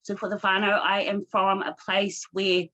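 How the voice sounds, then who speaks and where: A woman from New Zealand, a guest business owner, talking in an expressive, casual way from her rural home off the grid. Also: mid-range voice, moderate pace, dull audio.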